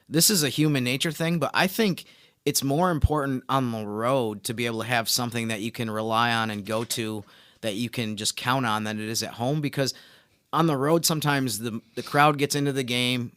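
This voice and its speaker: A man with a smooth, clear, mid-pitched voice co-hosts a sports talk podcast. He explains his analysis conversationally, building each point step by step, in a dry, close-sounding recording. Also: fairly quick, fairly bright tone, no room sound.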